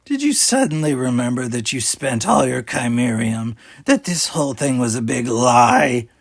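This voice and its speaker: A man's voice: mid-range voice, animated, normal speed, gravelly, no room sound, studio quality. A man voicing a menacing, tusked female alien pirate captain for an audiobook, with a drawling, mocking, commanding manner. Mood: impatient, demanding. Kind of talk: audiobook character voice.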